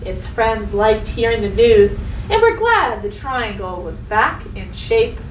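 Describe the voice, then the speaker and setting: A woman with a high voice speaks as a teacher to young children. She is expressive and instructive, addressing listeners directly. The recording sounds roomy and distant, with faint noise. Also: slow. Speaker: elementary school teacher. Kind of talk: read-aloud storytelling and lesson for children.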